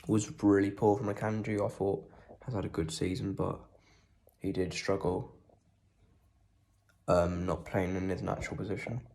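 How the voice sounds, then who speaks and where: Croaky low man with a cold. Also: level tone, moderate pace, long pauses. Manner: speaks quietly, holding back volume; rambling with many ums. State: has a cold and sore throat, croaky. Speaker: football fan vlogger. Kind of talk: casual post-match reaction.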